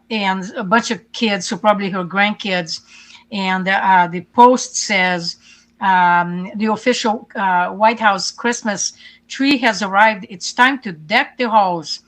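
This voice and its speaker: A woman's voice: medium pitch, fairly bright tone. Woman talking earnestly. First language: Hungarian. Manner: earnest, many fillers and restarts. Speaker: guest. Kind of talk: casual interview talk.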